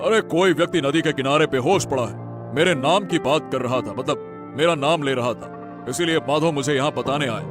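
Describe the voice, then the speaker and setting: A man playing a concerned, authoritative king in a Hindi story drama, with an expressive, deliberate delivery. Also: on the high side, close to an everyday voice. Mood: urgent, commanding. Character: concerned, authoritative king reassuring his queen. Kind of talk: Hindi moral-story audio drama acting. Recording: music behind the voice.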